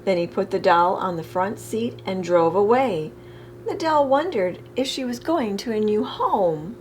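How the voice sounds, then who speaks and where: A woman reading a children's picture book aloud expressively, with deliberate phrasing, as a storytime reader. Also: mid-range voice, lively intonation, a little mellow, a little hiss or hum.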